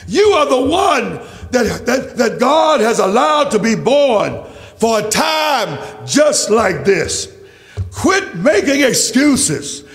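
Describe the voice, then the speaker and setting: Male preacher, impassioned and declamatory, slow delivery with big melodic swings, church sermon. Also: very high-pitched. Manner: declamatory, emphatic, repetitive rhetorical phrasing. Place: large church. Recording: reverberant hall, faint music.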